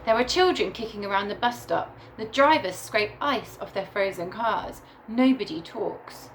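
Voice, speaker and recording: A woman teacher reading a picture book aloud to young children in a deliberate, animated storytelling way. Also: mid-range voice, slight background noise. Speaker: primary school teacher reading to her class.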